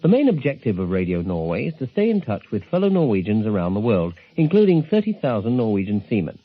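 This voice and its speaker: A man presenting a radio programme, giving measured, informative scripted narration. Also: fairly low pitch, wildly swooping pitch. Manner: measured, informative announcer delivery. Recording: tinny narrow-band 1970s shortwave broadcast recording.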